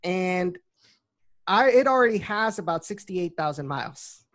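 A woman's voice: on the low side, animated, a little slow. A woman from the Rio Grande Valley in South Texas, a soccer reporter explaining things conversationally as an interview guest. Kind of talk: casual interview chat.

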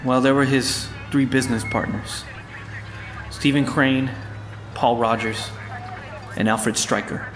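An actor playing a distraught, pleading young man accused of murder in an audio drama, speaking haltingly. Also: mid-range voice, fairly even intonation, natural voice. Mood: shaken, grieving. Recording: echoey room with clear background noise.

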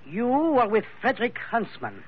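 A suave French investigator in a vintage radio thriller. His voice is high and husky, with a French accent and formal, courteous phrasing that swoops up and down. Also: wildly swooping pitch, normal speed, exaggerated voice. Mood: curt questioning. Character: suave, suspicious Frenchman, insurance investigator with a pistol. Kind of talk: old-time radio drama acting. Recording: dull vintage radio recording.

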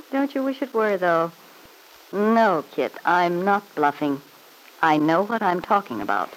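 A woman's voice: on the low side, sing-song, slow, rough recording. An actress playing a smug, needling married woman in an old-time radio soap opera, with an arch, mock-sweet, taunting manner. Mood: smug, threatening.

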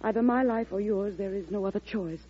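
An actress playing an earnest, anxious, deferential presidential secretary in old-time radio drama style, with a slightly husky voice. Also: female voice, mid-range voice, fairly quick, mellow, natural voice. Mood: grave reading. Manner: deferential, concerned. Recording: dull vintage radio recording.

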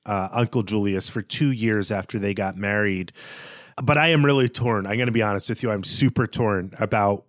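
A man's voice: on the low side, no room sound, clean studio sound. A man co-hosting a podcast, discussing literature in an analytical but informal conversational way. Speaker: podcast co-host.

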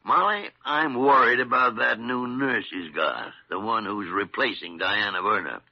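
Gravelly, blustering old doctor. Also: male voice, fairly low pitch, unhurried. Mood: grumbling. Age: older. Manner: blustering, grumbling, sly. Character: crotchety, meddling old male senior hospital doctor. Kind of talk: old-time radio drama acting. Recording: muffled vintage radio recording.

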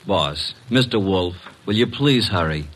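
Low-voiced wisecracking detective's sidekick. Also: male voice, lively intonation, measured pace, a bit bright, full character voice. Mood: impatient. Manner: impatient, sarcastic, breezy wisecracks. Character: brisk, wisecracking, flirtatious male detective's assistant. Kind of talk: 1940s-50s American radio drama acting. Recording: noisy old radio recording.

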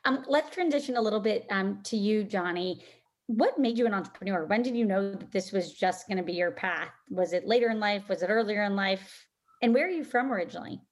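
A woman's voice: mid-range voice, a little fast. A woman interviewer asking questions in an upbeat, encouraging way.

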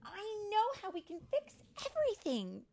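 A woman voicing an eager, proud young fairy girl from a storybook, with excitable exclamations. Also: mid-range voice, unhurried. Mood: excited.

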